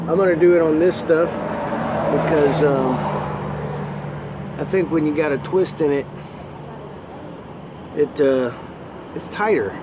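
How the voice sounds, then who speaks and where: Profane trucker talking outdoors over traffic. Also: male voice, on the high side. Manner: casual and profane, rambling with restarts. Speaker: flatbed truck driver. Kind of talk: casual how-to vlog. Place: outdoors beside a flatbed trailer. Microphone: handheld phone camera, close. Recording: loud outdoor noise. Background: traffic and vehicle noise.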